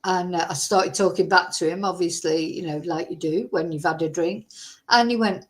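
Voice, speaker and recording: A woman from Manchester with a softened Mancunian accent, hosting a casual true-crime livestream, chatty and rambling, greeting viewers by name. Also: on the low side, normal speed. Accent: softened Mancunian English. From Manchester, England.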